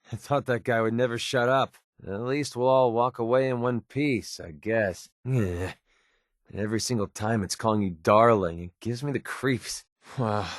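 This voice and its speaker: An actor playing a wry, world-weary young mercenary in a video game, with an American accent and a casual, sarcastic way of talking. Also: male voice, medium pitch, no room sound, clean studio sound, natural voice. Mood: irritated, exasperated. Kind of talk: video game character voice lines.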